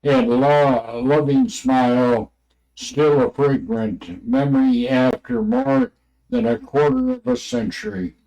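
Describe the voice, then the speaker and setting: A man reading an old religious text aloud in a halting way, stumbling over words and names. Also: a little high, animated, gravelly, warm tone, low-quality recording.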